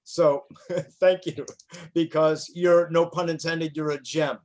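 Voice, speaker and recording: A man moderating an online panel, effusive and flattering, laughing often. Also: fairly high pitch, slow.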